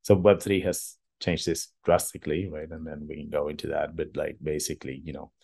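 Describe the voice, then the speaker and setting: Deep-voiced man, halting interview talk. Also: level tone, vocal fry, a little mellow, slightly rough. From Argentina. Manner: hesitant, halting, thinking aloud. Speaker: podcast guest, engineer and artist. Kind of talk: casual interview answers.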